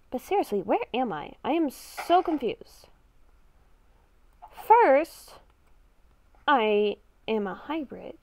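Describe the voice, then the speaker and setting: A woman playing a confused, exasperated young werewolf-vampire hybrid in an improvised game roleplay, thinking aloud in a halting, dramatic way. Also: high voice, very animated, darkish tone, heavily acted. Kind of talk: improvised Minecraft roleplay narration while playing.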